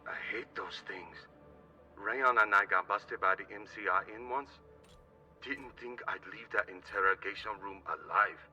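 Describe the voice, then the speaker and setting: Bitter weary spacer, lowish, very sharp voice, video game, dull radio-like sound. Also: male voice, normal speed, far from the mic, soft background music.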